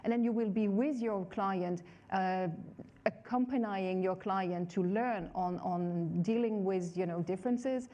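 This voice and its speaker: A woman's voice: mid-range voice, unhurried, warm tone, highs cut off. A woman professor on a conference stage, explaining her views thoughtfully with examples. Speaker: management professor, panel guest. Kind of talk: stage conversation.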